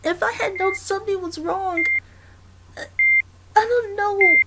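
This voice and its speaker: A performer voicing a young male fox, a gentle, anxious friend, speaking haltingly and earnestly in a dramatic audio roleplay. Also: very high voice, very noisy. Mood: shocked, guilty. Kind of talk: dramatic ASMR roleplay acting.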